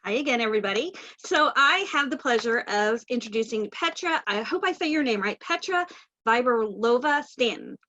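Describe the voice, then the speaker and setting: A woman's voice: a little high, lively intonation, normal speed, bright, forceful tone. Woman introducing a speaker, muffled call. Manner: polite, reading a bio. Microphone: video call. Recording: dull video call audio.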